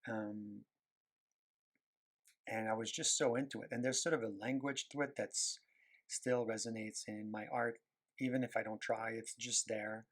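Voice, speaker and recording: Low-voiced Québécois man rambling while drawing. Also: level tone, a little slow. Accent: Quebec French. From Quebec, Canada. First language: French. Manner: rambling, searching for words, many fillers. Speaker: animator and illustrator. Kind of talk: casual talk while drawing.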